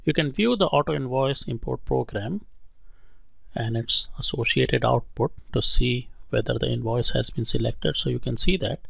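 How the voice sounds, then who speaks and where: A male instructor talks through a software tutorial step by step in an explanatory way. His voice sits at a middling pitch with a gravelly fry. The recording is dry and close. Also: normal speed, no room sound.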